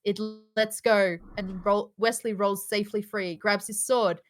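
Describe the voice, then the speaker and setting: A woman's voice: mid-range voice, steady tone, unhurried. A woman reading out screenplay stage directions at a casual live table read, with occasional offhand asides.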